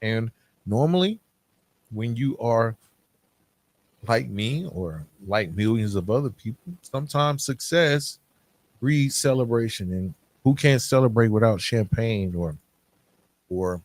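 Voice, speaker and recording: A man's voice: fairly low pitch, animated, slow pace, pauses often, a little mellow. A man hosting a solo podcast, talking candidly and informally in a half-scripted, conversational way, checking in with "right?" as he makes his points. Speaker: podcast host.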